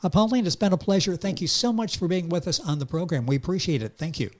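A man's voice: high-pitched, fast. A man hosting a radio program, interviewing a guest in a friendly, conversational way. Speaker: radio show host.

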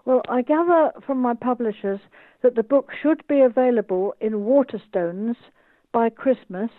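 A woman's voice: a little high, normal speed, no room sound. A woman in her eighties from the United Kingdom, an author and tour guide speaking enthusiastically in an interview over a video call. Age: 80s. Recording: tinny phone-like call line.

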